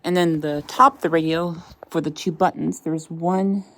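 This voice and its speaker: A woman's voice: sits low, fairly even intonation, moderate pace, fairly bright tone, a little hiss or hum. A woman giving a casual tech review as a vlog host, talking in a hesitant, rambling way. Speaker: product reviewer and channel host.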